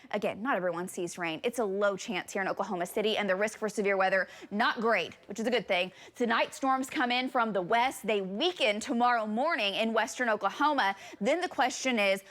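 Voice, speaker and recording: A woman's voice: mid-range voice, a bit bright. A woman meteorologist giving a morning TV weather forecast in a studio, explaining the maps in a plain, conversational way.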